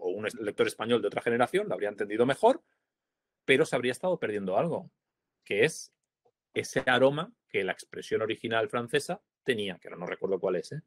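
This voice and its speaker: A Spanish translator in his forties, speaking in a reflective interview over a video call, pausing often with fillers and asking little tag questions. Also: medium pitch, no echo. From Spain. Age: 40s. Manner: thoughtful, hesitant, many fillers and tag questions. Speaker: translator, prize-winning guest.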